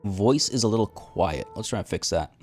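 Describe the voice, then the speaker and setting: A man live-streaming a game, chatting casually and rambling with many restarts, his voice a little rough from the morning. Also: fairly low pitch, expressive, moderate pace, a little mellow, faint music behind.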